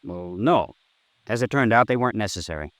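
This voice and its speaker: Defensive young American suspect, low voice. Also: male voice, lively intonation, brisk, fairly bright tone, dry recording. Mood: guarded. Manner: defensive, guarded. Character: defensive young male murder suspect, guarded and touchy. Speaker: radio drama supporting actor. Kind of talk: 1950s American radio acting. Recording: restored vintage 1950s radio recording.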